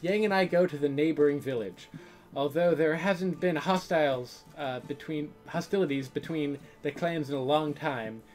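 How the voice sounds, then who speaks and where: A woman hosts a casual read-along of a visual novel, moving between narration and chatty commentary. Her voice sits a little low, with soft plucked-string game music behind her. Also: normal speed. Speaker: gaming video host.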